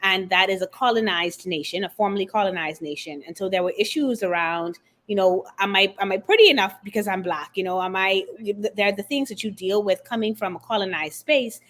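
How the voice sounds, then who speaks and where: A middle-aged woman from the Bahamas speaks as an interview guest, her voice sitting at an ordinary pitch for a woman. She talks in an animated, expressive way, building her story with warmth of conviction and references to faith. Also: fairly quick, few pauses. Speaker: guest author and confidence coach. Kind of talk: conversational interview.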